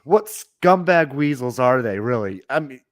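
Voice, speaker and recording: A man co-hosting a casual news commentary podcast, hoarse from a sore throat, blunt and prone to restarting his sentences. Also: mid-range voice, expressive, a little slow, no room sound, studio quality. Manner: blunt, restarts and repeats words. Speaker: podcast co-host.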